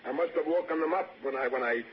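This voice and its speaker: An actor playing a nervous, defensive young murder suspect with a hesitant, stammering delivery in a 1960s radio drama. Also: male voice, a little high, quick pace, close to an everyday voice. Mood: pleading, anxious. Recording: dull, faintly echoing, noisy old radio recording.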